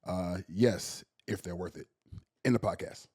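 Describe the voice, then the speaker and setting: A man's voice: low-pitched, unhurried, a little mellow, husky, no echo, clean studio sound. A young man hosting a podcast in casual chat, sniffly from a cold and hesitant in his speech. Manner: hesitant, many fillers and restarts. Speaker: podcast host.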